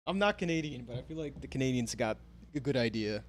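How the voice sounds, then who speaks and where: Man, american streamer, casual chat, animated up-and-down voice, easygoing. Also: medium pitch, fast talker, slight background noise. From United States. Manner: laid-back, laughs often, reads chat aloud. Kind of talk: casual live-stream chat while gaming. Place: home near a big city.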